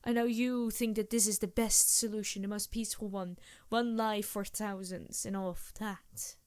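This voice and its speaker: A woman voicing a seductive, scheming succubus demon who is a loyal ally, speaking softly and musingly in an intimate improvised ASMR roleplay. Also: medium pitch, steady tone, normal speed, clean tone, no room sound, lightly acted. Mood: earnest, disapproving.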